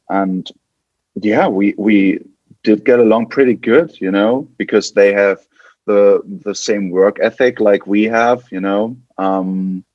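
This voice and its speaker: Low-voiced German man, dull dry recording. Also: darkish tone, no echo. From West Germany. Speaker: podcast guest, band guitarist. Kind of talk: casual interview conversation.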